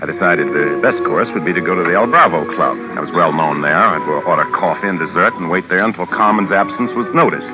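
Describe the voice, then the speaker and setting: Man acting a deep-voiced scheming lawyer, old radio. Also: fast talker, few pauses, close to an everyday voice. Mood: smug, calculating. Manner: pompous, self-satisfied, articulate. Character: smug, calculating male lawyer narrating his own murder scheme. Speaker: radio drama lead actor and narrator. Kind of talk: 1940s radio acting, first-person crime narration. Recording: muffled old radio recording with music.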